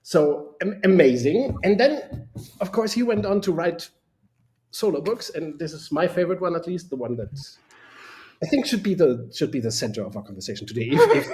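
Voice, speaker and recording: Man, German accent, native German speaker, slightly high voice, enthusiastic, rambling, word repetitions, casual co-host talk. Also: very animated, moderate pace, a little mellow. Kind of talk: casual live discussion.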